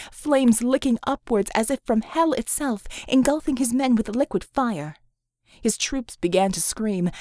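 A woman narrating an action novel as an audiobook, with expressive, dramatic storytelling delivery. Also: a little high, no echo, clean studio sound. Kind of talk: dramatic scripted fiction narration.

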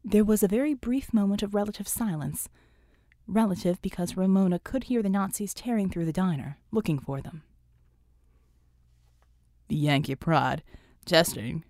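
A woman's voice: mid-range voice, animated, a little fast, mellow, no room sound, clean studio sound. A woman reading scripted fiction as an audiobook narrator.

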